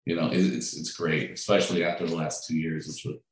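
A deep-voiced man from Peru talks about his cooking in a loose, conversational way, often doubling back on his words. His voice rings with heavy echo from the room around him. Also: fairly quick. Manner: relaxed, chatty, with frequent restarts and fillers. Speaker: food truck owner and chef. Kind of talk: interview answers. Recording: very echoey room.

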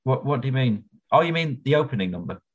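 A man's voice: medium pitch, lively intonation, fast talker. Easygoing man on a dull mic. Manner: easygoing, tells anecdotes. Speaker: podcast co-host. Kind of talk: casual chat about musicals.

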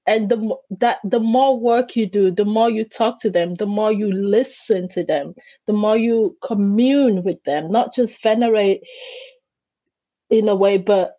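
A woman whose first language is Greek, a guest in an interview who tells stories in an animated way and acts out the voices she quotes. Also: mid-range voice, measured pace, no room sound, highs cut off. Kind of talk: conversational interview.

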